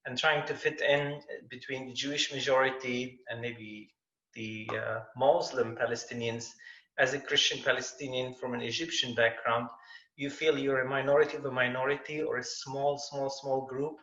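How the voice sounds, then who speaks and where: A man from Jerusalem talks in a relaxed, reflective way, as a tour guide sharing his thoughts. His voice sounds roomy and muffled through a video call. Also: medium pitch, moderate pace. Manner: conversational, reflective. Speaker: tour guide, panel guest. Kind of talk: panel discussion answer.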